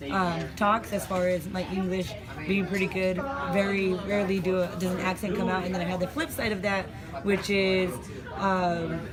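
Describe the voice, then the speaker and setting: Woman teacher in her thirties, slightly low voice, measured and thoughtful, classroom office, loud chatter behind. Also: level tone. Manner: thoughtful, measured, mixes in fillers. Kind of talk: interview answers.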